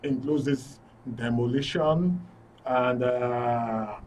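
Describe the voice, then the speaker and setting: A man's voice: medium pitch, slow, darkish tone. A man with a Nigerian accent hosting a live radio phone-in discussion. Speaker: radio show host. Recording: distant mic with faint noise.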